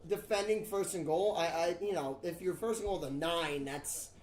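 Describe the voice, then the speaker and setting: High-voiced young man in an echoey room. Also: normal speed. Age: young adult. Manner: rambling, restarts, yells at big plays. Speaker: color commentator. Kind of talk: casual color commentary.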